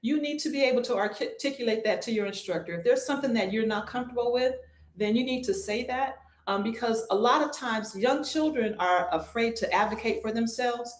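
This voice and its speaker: Woman swim teacher, interview guest, steady and soothing delivery, echoey room sound. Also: fairly high pitch, fairly quick. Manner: calm, reassuring, repeats key points. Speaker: swim instructor. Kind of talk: interview answers.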